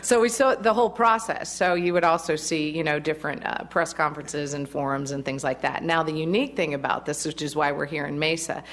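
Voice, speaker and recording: A woman's voice: sits low, fairly even intonation, a little fast, hardly any pauses, a bit bright. A woman representing a broadcast network, giving friendly public remarks at a council meeting through a podium microphone and laughing easily. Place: council chamber.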